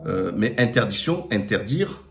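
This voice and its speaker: A man's voice: on the low side, very animated, measured pace, gravelly, fairly bright tone, slight background noise. A middle-aged man with a Marseille accent giving a blunt, emphatic opinion monologue on a live stream, with frequent fillers. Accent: Marseille French. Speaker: political commentator.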